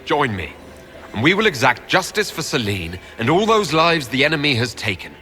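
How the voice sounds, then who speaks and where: An actor playing a stern, commanding Inquisition leader in a fantasy game, with a formal, firm manner. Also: male voice, a little high, very animated, moderate pace, bright, forceful tone, faint music behind. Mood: rallying. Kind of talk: dramatic fantasy game acting.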